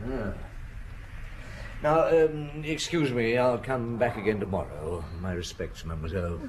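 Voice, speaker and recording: An actor playing a terse, courteous police chief inspector in an audio drama, asking measured, politely probing questions. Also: male voice, on the low side, crowd noise, close to an everyday voice, low-quality recording. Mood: brisk.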